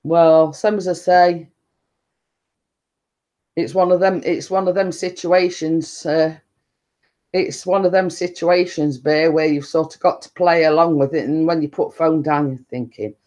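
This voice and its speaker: Woman rambling on a live stream, deep tone, slow and chatty, keeps saying the same phrases. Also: fairly even intonation, dark tone. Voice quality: deep-toned voice. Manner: chatty, meandering, repeats stock phrases and laughs often. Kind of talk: rambling casual chat.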